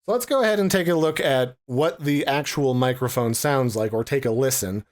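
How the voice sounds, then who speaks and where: A man's voice: mid-range voice, moderate pace. Casual man reviewing tech gear. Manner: conversational, informal, explanatory. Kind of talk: casual unboxing and review narration.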